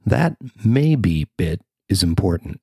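A man's voice: deep voice, big pitch swings, slow pace, creaky, a little mellow, husky, no room sound, studio quality. An American man reading a scripted news story as a podcast host and reporter.

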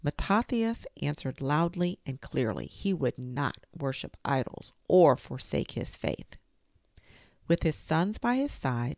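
A woman with an unusually deep voice reads a children's history book aloud as the host of a storytime show. Her tone is gentle and careful, with a gravelly edge. The recording is close and dry, with no room sound. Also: no room sound. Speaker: storytime reader.

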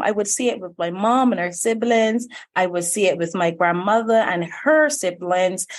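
A woman from Barbados, a life coach telling her childhood story in a podcast interview, earnest and reflective. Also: mid-range voice. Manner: earnest, reflective, with frequent "you know". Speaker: guest, life purpose coach.

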